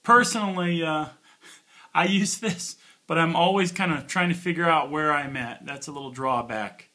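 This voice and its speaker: Bright-voiced man doing a casual gear review. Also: on the high side, moderate pace. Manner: informal, offhand. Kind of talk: casual hands-on product review.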